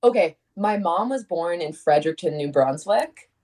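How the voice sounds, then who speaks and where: Mellow-voiced young woman chatting. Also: lowish voice, animated, moderate pace. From Connecticut, USA. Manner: chatty, rambling restarts, laughs. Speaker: singer-songwriter guest. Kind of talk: casual interview chat.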